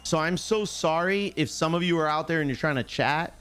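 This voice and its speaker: A man's voice: a little high, a little fast, fairly bright tone, smooth. A man hosting a live sports stream, chatting casually in chummy slang, greeting viewers by name and repeating himself.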